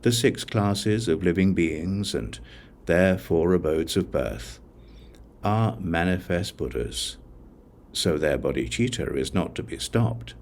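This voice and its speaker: Male audiobook reader for sacred text: low-pitched, measured phrasing, slight background ambience. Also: animated, darkish tone, slight background noise. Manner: measured, deliberate phrasing with pauses. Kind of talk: scripted narration of a Buddhist scripture.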